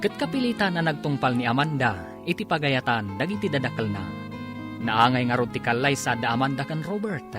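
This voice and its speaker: A man announces and narrates a scripted radio serial. His pitch rises and falls widely, with dramatic, stretched phrasing. Music plays clearly behind him. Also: very animated, fairly quick.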